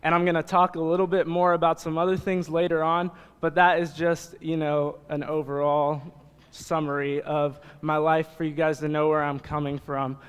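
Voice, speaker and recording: A man's voice: a little high, flat delivery, normal speed, clear, resonant tone. A young American man, a youth pastor from Nebraska, preaching informally to a congregation in a casual, joking, conversational way. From Nebraska, United States. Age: young adult. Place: church hall, speaking to congregation. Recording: reverberant hall sound.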